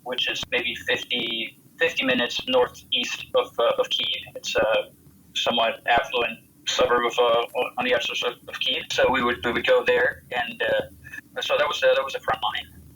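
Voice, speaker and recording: An American man recounting his military experience in an interview, speaking haltingly and often repeating words as he goes. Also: medium pitch, completely flat delivery, sharp tone, slightly rough, low-quality recording. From United States. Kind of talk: spontaneous interview account.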